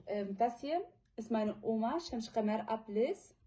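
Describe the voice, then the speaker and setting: An eighteen-year-old woman from China who has lived in Germany since childhood, giving an unscripted personal testimony in an earnest, halting, informal way. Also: fairly high pitch, animated, warm tone. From from China, living in Germany since childhood. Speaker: witness giving testimony about a detained relative.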